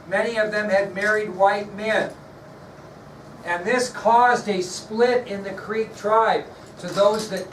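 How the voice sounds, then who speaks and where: Casting: American male schoolteacher, high voice, explanatory lecture delivery with repeated key phrases, picked up from across the room. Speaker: history teacher. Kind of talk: classroom lecture with questions to students. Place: classroom. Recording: echoey room, distant mic, background noise.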